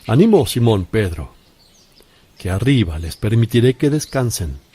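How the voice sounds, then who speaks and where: An actor playing a calm, gentle but authoritative male teacher with measured, solemn delivery in a religious radio drama. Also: lowish voice, husky. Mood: gently firm. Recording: faint outdoor birdsong and ambience.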